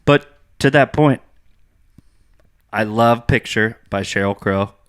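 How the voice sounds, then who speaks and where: A man's voice: fairly low pitch, slow pace, clean tone, no room sound, studio quality. A man co-hosting a podcast, chatting playfully and laughing easily. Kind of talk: casual chat. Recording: loud background noise with clicks.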